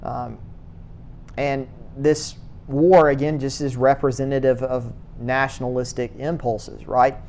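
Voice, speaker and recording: A man giving a church history lecture to a class, speaking in a halting way, restarting words and filling pauses with uh. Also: medium pitch, little pitch movement, a little mellow, a little hiss or hum. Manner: halting, repeats words, many uh fillers.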